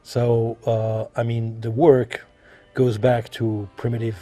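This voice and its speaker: A man from France with a French accent, answering interview questions as an artist, halting and repeating himself as he searches for words. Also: medium pitch, little pitch movement, mellow. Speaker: visual artist being interviewed.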